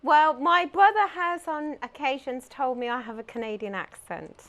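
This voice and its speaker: A woman's voice: high-pitched, normal speed, fairly bright tone, slight background noise. English woman with a dry wit. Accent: English, southern England. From England. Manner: dry humor, short answers, laughs at own jokes. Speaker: guest, ghost walk volunteer. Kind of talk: casual interview chat. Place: local TV studio.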